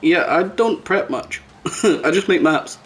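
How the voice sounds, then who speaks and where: A man's voice: high voice, lively intonation, slow pace. A man hosting a casual podcast, chatting and teasing his friends. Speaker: podcast host. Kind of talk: casual chat, teasing banter. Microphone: new microphone. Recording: clearly noisy background.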